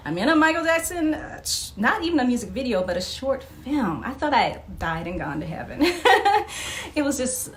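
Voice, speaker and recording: A woman chatting casually as a podcast guest, telling personal anecdotes in an expressive way. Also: high voice, moderate pace. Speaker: interview guest. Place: live podcast taping.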